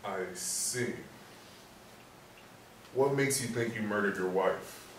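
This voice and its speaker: An actor playing a courteous, steady police sergeant in a stage play reading, measured and matter-of-fact. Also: male voice, on the low side. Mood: sceptical, firm. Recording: roomy echo, distant mic, faint noise.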